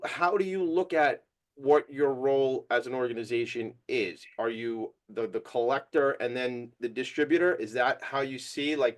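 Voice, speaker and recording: A man hosting a podcast interview, playful and excitable, breaking in with bursts of enthusiasm. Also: medium pitch. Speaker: podcast host. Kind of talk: casual interview chat.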